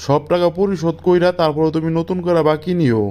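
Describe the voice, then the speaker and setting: A man's voice: fairly high pitch, steady tone, fairly quick, a little mellow, smooth, close to an everyday voice. A man voicing a stern, scolding cartoon shopkeeper with a Bangladeshi accent. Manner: scolding, blunt. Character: stern, grumbling male village grocery shopkeeper refusing credit. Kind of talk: cartoon voice acting.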